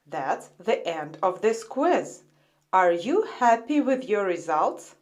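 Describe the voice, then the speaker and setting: Slow, sing-song woman teaching English. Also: medium pitch, big pitch swings, a little mellow. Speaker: quiz host. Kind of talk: scripted English lesson narration.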